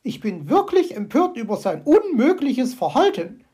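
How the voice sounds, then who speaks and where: A woman voicing an excitable, fussy female magpie in a storybook, with shrill, agitated exclamations and a creaky, husky voice. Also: normal speed. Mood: indignant. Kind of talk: storybook character voice.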